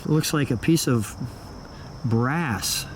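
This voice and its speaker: A man's voice: medium pitch, very slow. A middle-aged or older man, chatting casually and enthusiastically as he hosts a car walkaround. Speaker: host of a car show. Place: outdoors around and inside a car. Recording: loud car and outdoor noise. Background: engine, insects, vehicle noise.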